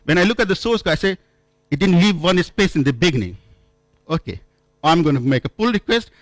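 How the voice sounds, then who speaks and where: A man's voice: fairly high pitch, sing-song, no room sound. A man from India, not an English speaker, giving a conference talk, telling stories with many restarts and self-corrections. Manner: halting, frequent restarts and self-corrections, storytelling. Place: conference room.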